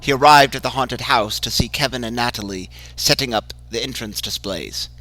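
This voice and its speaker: A man giving a measured, dramatic reading of a horror story. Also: medium pitch, pushed and bright, poor audio. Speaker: narrator. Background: music.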